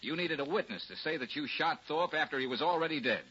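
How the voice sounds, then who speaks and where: Wry 1940s radio gumshoe: confident, sharp-edged, lively delivery, dull vintage sound. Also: male voice, fairly high pitch, fast talker. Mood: accusing, firm. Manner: wry, explanatory. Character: confident, wry male private detective. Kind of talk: 1940s radio drama acting. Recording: muffled, noisy 1940s radio recording.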